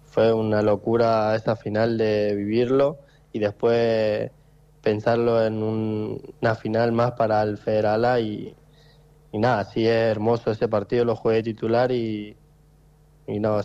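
Young Argentine footballer, monotone and dark-toned, halting phone interview, lo-fi line. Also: male voice, lowish voice, smooth. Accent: Argentine Spanish. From Tucumán, Argentina. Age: 20s. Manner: halting, repeats words, many fillers. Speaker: footballer guest.

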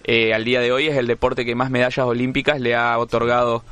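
Bright-voiced Argentine host, chatty. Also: male voice, mid-range voice, level tone, fairly quick, smooth. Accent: Argentine Spanish. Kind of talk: casual sports radio chat.

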